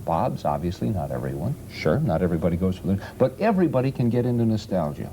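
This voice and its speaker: Mellow, somewhat low-voiced male panelist. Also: very animated, quick pace. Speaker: radio talk show guest. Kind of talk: conversational panel talk. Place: television studio. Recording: faintly noisy 1970s television recording.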